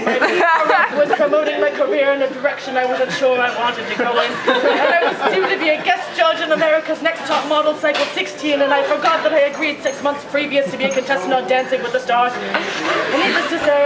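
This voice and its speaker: A woman's voice: high-pitched, close to an everyday voice. A woman performing a grandiose, crude parody of a famous Victorian naturalist, declaiming a mock-serious comic monologue in a restaurant. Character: Charles Darwin parody, boastful, crude old naturalist. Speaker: friend performing a birthday monologue. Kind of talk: theatrical comic monologue reading. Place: indoors in a busy sushi restaurant. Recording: noisy crowded echoey restaurant room. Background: loud crowd chatter and laughter.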